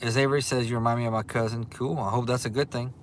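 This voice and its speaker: A man in his forties speaks with a Louisiana accent at a medium pitch, chatting casually on a livestream. He answers viewer comments in an easygoing way, with ums and repeated words. Faint background noise is heard behind him. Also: steady tone, fast. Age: 40s. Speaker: livestream host. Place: restaurant. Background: faint restaurant ambience.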